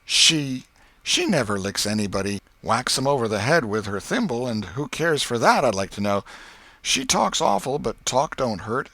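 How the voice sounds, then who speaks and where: A man's voice: mid-range voice, big pitch swings, moderate pace, bright, forceful tone, no room sound, voice pushed up, full character voice. A man voicing a scrappy, wheedling young American boy with rustic, slangy, emphatic speech in a storybook reading. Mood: wheedling, cocky.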